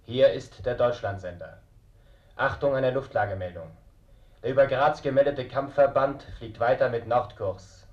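An actor playing an official 1940s German radio announcer who reads air-raid warnings in a formal, clipped, impersonal manner, heard through an old radio set. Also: male voice, mid-range voice, normal speed. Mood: urgent, formal. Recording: dull, echoey old radio sound.

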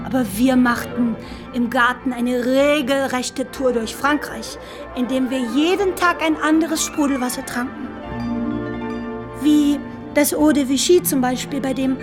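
Sensual young woman, high voice, unhurried, savoring, eloquent, playful, radio drama. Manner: savoring, eloquent, florid phrasing. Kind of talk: literary radio drama acting. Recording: studio radio play with music underneath.

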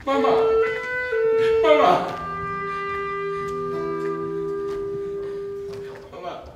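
A man's voice: extremely high pitch, wildly swooping pitch, slow pace, highs cut off, exaggerated voice. An actor playing an emotional Sicilian labourer of forty, telling his life in a theatrical, declamatory monologue with a Sicilian accent. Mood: overcome with joy. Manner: impassioned, declamatory storytelling in rustic dialectal speech. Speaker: stage actor narrating in first person. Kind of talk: theatrical monologue over music. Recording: echoey theatre, distant mic, loud music. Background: loud instrumental music.

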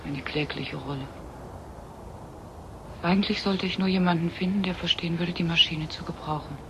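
A woman's voice: fairly low pitch, steady tone, moderate pace, dull audio, close to an everyday voice. A woman playing an enigmatic young artificial woman, solemn and searching, in a German radio drama. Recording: noisy old radio play recording.

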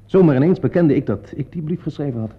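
An earnest young man playing detective in a radio drama. He sounds defensive and self-important, with a soft husky voice and lively swings of pitch. The sound is muffled. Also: quick pace. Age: young adult. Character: earnest, touchy young male amateur sleuth. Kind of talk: radio play acting in Dutch. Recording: dull lo-fi radio play recording.

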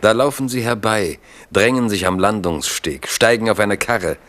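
A man narrating a historical chronicle in a literary, measured and vivid radio drama style. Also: fairly low pitch, a bit bright, natural voice. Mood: narrative. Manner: measured, vivid present-tense telling.

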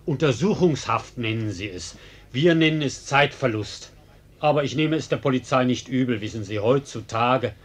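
An actor playing a sly ex-convict arsonist in a German radio play, unhurried and ironically courteous, with a husky voice. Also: male voice, mid-range voice, lightly acted. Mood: casual, needling. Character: sly, courteous male arsonist and ex-prisoner, coolly mocking.

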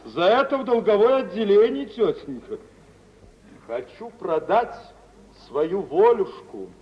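A swaggering, cheerfully cynical spendthrift gentleman in a 1950s Russian radio play. The high voice swings freely with theatrical familiarity. It sounds distant and muffled, with faint hiss. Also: animated, unhurried. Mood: breezy, rueful. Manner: jaunty, theatrical, playfully familiar. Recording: muffled old radio recording, distant, hissy.

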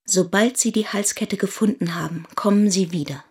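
An actress playing an imperious yet anxious princess of old China in a German radio play, speaking formally and with command. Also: female voice, mid-range voice, moderate pace, natural voice. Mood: solemn.

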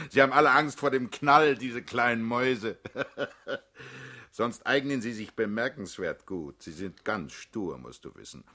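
A man's voice: medium pitch, pushed and bright. An actor in a radio play, playing a middle-aged political leader who is fatherly, self-assured and mocking, with a persuasive, commanding manner. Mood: amused, mocking. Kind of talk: German radio play acting.

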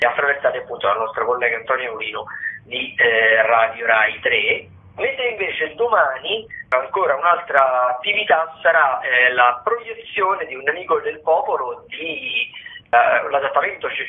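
A man giving a radio roundup of theatre listings, hesitant, with many fillers and restarts. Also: on the high side. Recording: tinny phone line.

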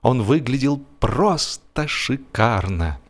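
A man reading humorous literary prose as an audiobook narrator, with a theatrical, expressive delivery. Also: medium pitch, slow pace.

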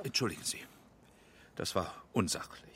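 An actor playing a weary, irritable but courteous film director in a German radio drama. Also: male voice, low voice, animated, normal speed, fairly bright tone. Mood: irritated, exacting.

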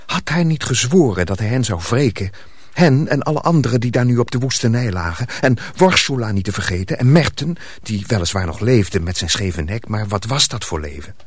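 A man's voice: mid-range voice, expressive, fairly quick, breathy edge, no echo. A man narrating a radio drama from a script.

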